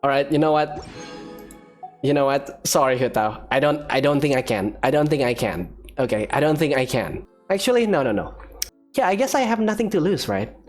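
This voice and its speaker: Lively-pitched male gamer over faint music. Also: normal speed. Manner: expressive, repeats phrases. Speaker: gaming video creator. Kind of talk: casual let's-play commentary.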